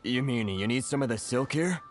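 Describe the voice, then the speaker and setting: A man acting as an earnest, easily flustered merchant from a video game. He has a mid-pitched voice and speaks with hesitant deference. Faint music plays behind him. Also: animated, moderate pace, close to an everyday voice. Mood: grateful. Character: timid, grateful male merchant, earnest and flustered.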